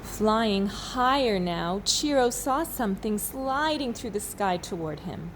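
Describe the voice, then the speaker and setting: A woman teacher reading a children's storybook aloud expressively, with dramatic pauses. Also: mid-range voice, expressive, background noise. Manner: slow, dramatic pauses, asks listeners questions.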